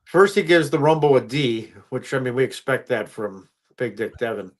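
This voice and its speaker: A man's voice: mid-range voice, animated, normal speed. A man co-hosting a casual wrestling podcast, throwing in teasing jokes. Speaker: podcast co-host.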